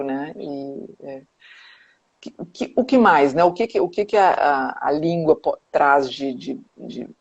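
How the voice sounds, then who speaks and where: A woman's voice: sits low, darkish tone. A woman psychoanalyst, talking in a casual, hesitant way with many restarts and tag questions on a live video call. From Brazil. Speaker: psychoanalyst guest. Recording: dull lo-fi video call audio.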